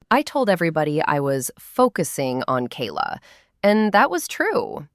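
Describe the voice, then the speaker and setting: A woman in her forties with a fairly low, animated voice tells a personal story. She speaks candidly and conversationally, leaning on short emphatic phrases. The recording is close and dry. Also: dry recording. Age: 40s. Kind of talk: confessional dramatic monologue, conversational narration.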